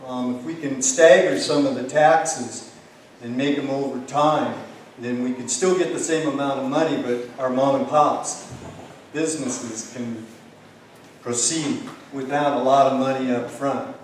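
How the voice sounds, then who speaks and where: A man's medium-pitched voice comes through a heavily echoing hall, slightly distant from the microphone. He talks informally and plainly as a candidate, rambling loosely from point to point. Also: fairly even intonation, a little slow. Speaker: county council candidate. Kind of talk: informal campaign speech. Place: high school hall public forum. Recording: echoey hall, distant mic, faint noise.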